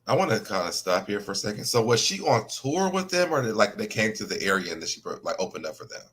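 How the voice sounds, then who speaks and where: Deep-voiced, bright, inquisitive man. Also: sing-song, fast talker, husky. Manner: inquisitive, asks follow-up questions. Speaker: recap co-host. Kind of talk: casual recap chat.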